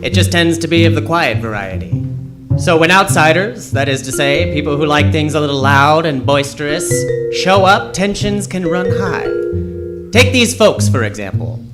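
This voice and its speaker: An actor playing a world-weary, wisecracking library detective in a deadpan noir radio drama style. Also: male voice, a little high, moderate pace, natural voice. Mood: wry, weary. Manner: wry, deadpan. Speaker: narrator and lead character. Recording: music playing behind the voice.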